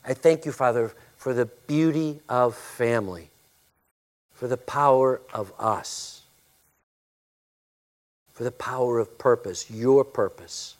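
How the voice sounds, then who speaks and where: A male pastor preaching a sermon and praying, with a loud, forceful voice, emphatic when preaching and hushed in prayer. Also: medium pitch, slow pace, pauses often.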